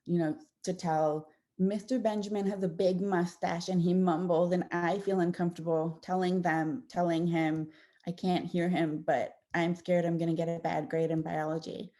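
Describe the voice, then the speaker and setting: A woman's voice: lowish voice, level tone, moderate pace. Woman audiologist giving a casual slide talk. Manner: conversational, warm explanations with frequent fillers and restarts. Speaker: audiologist presenting to parents. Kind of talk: informal presentation with slides.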